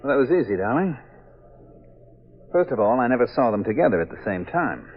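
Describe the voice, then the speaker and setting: A muffled old radio recording carries a male actor as a cocky amateur sleuth. He talks in clipped, commanding phrases at an ordinary male pitch, in the tough style of a 1940s mystery drama. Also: sing-song, brisk, soft background music, close to an everyday voice. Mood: relaxed.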